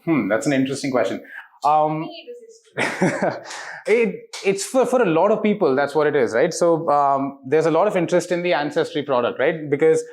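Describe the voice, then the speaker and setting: Man in his thirties, higher-pitched voice. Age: 30s. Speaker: interviewed diagnostics company representative. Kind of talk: explanatory interview answers.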